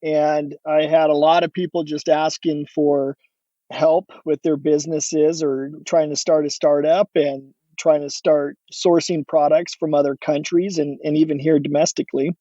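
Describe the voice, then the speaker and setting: A middle-aged man from Oregon with a fairly high, mellow voice. He talks in a relaxed, rambling way and often restarts his sentences. The sound is dry and a bit dull. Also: normal speed, no echo. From Oregon, USA. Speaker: guest entrepreneur. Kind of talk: casual interview talk.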